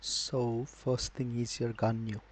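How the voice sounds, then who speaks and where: Monotone man streaming games over noise. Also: medium pitch, takes it slowly. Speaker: game streamer. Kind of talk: casual live gaming advice. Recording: noisy live stream microphone.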